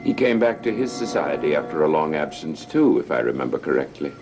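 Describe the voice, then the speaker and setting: Suspicious husband, low voice that jumps around, sardonic, old soap with music. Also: male voice, sing-song, brisk. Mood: polite. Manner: questioning, pointed, sardonic. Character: suspicious, resentful husband demanding answers. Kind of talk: 1960s-70s gothic TV soap acting. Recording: old TV soundtrack with music underneath.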